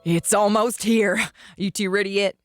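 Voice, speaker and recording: A woman's voice: mid-range voice, fairly even intonation, moderate pace, dry recording, faint music behind, natural voice. A woman playing a sardonic, trigger-happy outlaw in a western audio drama, with a wry drawl. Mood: brisk, confident.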